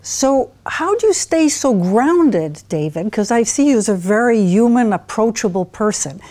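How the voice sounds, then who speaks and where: A woman's voice: a little high, lively intonation, normal speed, mellow. A woman hosting a studio interview, asking complimentary, leading questions. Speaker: interview host. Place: TV studio set. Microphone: lapel mic.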